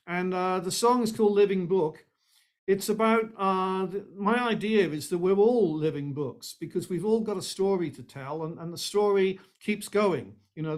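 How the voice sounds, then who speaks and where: A man, a singer-songwriter guest chatting casually in a rambling, repetitive way. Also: a little high. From Wales. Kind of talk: casual chat.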